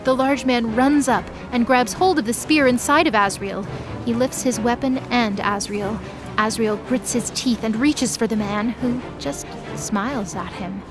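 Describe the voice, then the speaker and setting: Higher-voiced woman narrating over prominent music. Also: measured pace. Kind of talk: scripted audio drama narration.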